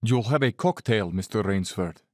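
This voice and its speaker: A man acting as a courtly Cossack general in an audio drama, with a lowish voice that rises and falls freely. He is affable, leisurely and self-satisfied, with menace under the polish. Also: animated, moderate pace, no room sound, clean studio sound, close to an everyday voice. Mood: courteous, hospitable.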